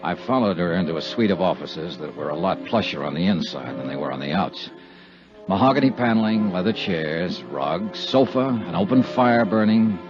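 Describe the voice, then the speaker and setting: A dull vintage radio recording with music behind the voice. a tough, wisecracking American investigator with a very low voice. He narrates his case in clipped, wry, hard-boiled phrases with lively swings of pitch. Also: normal speed. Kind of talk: 1950s radio detective acting and narration.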